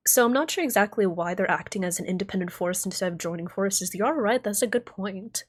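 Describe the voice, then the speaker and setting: Excitable young woman streamer. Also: mid-range voice, brisk, breathy edge. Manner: excitable, thinks aloud, swears and laughs. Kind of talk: casual live game reaction.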